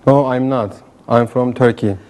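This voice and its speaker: A man playing a beginner English student, giving careful, measured answers in a scripted classroom drill. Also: medium pitch, steady tone, a little mellow, close to an everyday voice. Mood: careful, dutiful. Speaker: language student.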